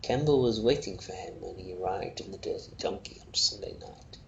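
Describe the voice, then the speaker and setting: A man reading a short story aloud as narrator, with expressive delivery. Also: brisk, gravelly, whispered, highs cut off. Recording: roomy echo, faint noise, rumble.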